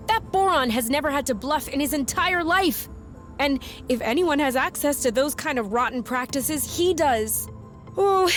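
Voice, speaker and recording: A woman playing a feisty, defiant and anxious young woman in a video game, with a blunt, sarcastic, expressive delivery. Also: high-pitched. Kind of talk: video game cutscene acting.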